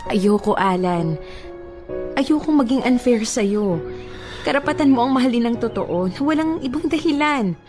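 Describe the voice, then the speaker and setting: A woman's voice: a little high, lively intonation, normal speed, lightly acted. Actress playing heartfelt grieving woman over music. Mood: sad, firm. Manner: pleading, earnest, heartfelt. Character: grieving woman gently turning away her suitor. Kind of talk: emotional Filipino radio drama acting.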